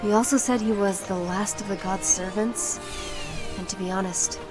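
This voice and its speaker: An actress playing a serious, resolute young female warrior in a video game cutscene, firm and measured, with music behind her. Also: medium pitch, steady tone, lightly acted. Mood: determined, wary.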